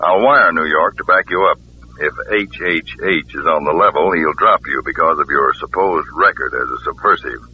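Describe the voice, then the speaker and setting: An actor playing a composed chief of counterspies in 1940s radio drama, measured and authoritative. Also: male voice, extremely low pitch. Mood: serious. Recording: noisy, tinny old radio recording.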